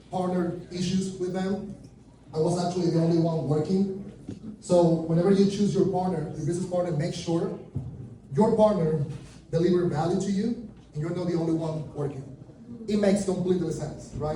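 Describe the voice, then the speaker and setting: A Venezuelan man in his thirties, Spanish his first language, speaks with a clear accent in a high, dark-toned voice. He gives a chatty, nervous talk on a stage. The sound bounces around a large, very echoey room, picked up from a distance with faint noise. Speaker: conference speaker. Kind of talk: live stage presentation. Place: large room with big windows, before an audience. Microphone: handheld mic, filmed by a far camera.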